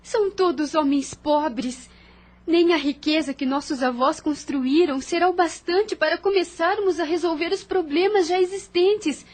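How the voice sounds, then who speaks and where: A woman's voice: very high-pitched, measured pace, darkish tone. A woman playing a worried, devout young sister who questions her brother anxiously in an audio drama.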